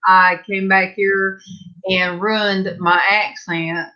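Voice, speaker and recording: A woman's voice: medium pitch, slow, dull audio. A woman from California telling a funny work story during a casual video call chat. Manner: animated storyteller, acts out quoted voices. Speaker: panel guest.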